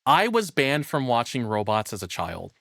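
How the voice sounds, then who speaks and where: A man's voice: mid-range voice, huge pitch swings, moderate pace, vocal fry, a bit bright, dry recording. Tired male streamer, crude and casual, dry sound. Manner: crude, profane, self-deprecating. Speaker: streamer reacting to a video. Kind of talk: casual live-stream commentary.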